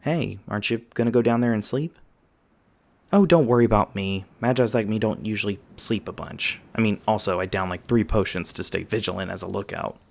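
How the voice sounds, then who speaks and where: A man's voice: fairly low pitch, fairly quick, vocal fry. A man voicing a loyal young mage on lookout in an ASMR roleplay, talking in a casual, wry, reassuring way. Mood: easygoing, wry. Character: loyal, chatty young mage lookout, protective old friend.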